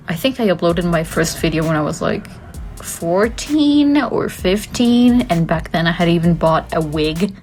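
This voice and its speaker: A woman, chatting casually to camera as a vlogger, wandering off on tangents with frequent laughs and casual swearing. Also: fairly low pitch. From Costa Rica. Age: 20s. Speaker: art student and content creator. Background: faint background music.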